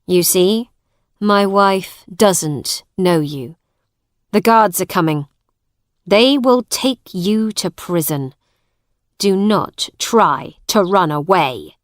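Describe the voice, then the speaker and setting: Storybook: woman voicing a stern, loud commanding man, slow, dry. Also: lowish voice, lively intonation, clean tone, no echo. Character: harsh, angry grown man, a usurping brother.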